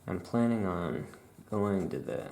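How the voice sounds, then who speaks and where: Soft, gravelly low voice for an easygoing witch shopkeeper ASMR roleplay; slow, rambling delivery. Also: animated. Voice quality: soft-spoken, low creaky voice. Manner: rambling, unhurried, trailing off. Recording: roomy echo, faint background noise.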